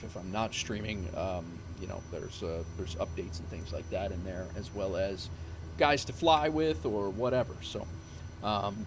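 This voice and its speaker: A man winding down a live stream, talking in a low-pitched voice that rambles through ums and restarts. His voice is wearing thin from a long session. A clear hum of background noise runs under his desk mic. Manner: rambling, full of fillers and restarts. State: losing his voice. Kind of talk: casual live-stream sign-off chat.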